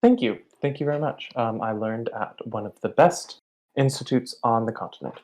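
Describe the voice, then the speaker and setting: A woman's voice: very deep voice, normal speed, a little mellow. A woman playing a deferential Swiss chauffeur with a German-sounding accent, hesitant, careful and formal, in a tabletop game. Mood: polite, evasive.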